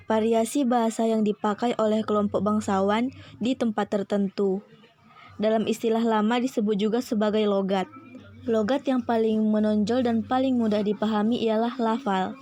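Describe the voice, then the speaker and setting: A woman's voice: a little high, fairly even intonation, normal speed, dark tone, dry recording. A young adult woman giving a scripted educational presentation as a student, methodically going through numbered points.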